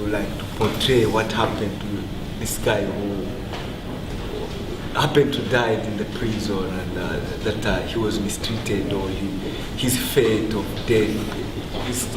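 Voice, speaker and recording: A man in an audience speaking up spontaneously, halting and searching for words. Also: on the low side, fairly even intonation. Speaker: audience member. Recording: very noisy echoey hall, distant mic.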